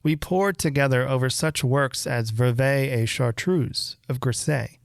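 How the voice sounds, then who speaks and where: A man reading a classic horror story aloud as literary narration, with measured, deliberate phrasing and dramatic pauses. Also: medium pitch, gravelly, no room sound, clean studio sound. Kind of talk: literary audiobook-style narration.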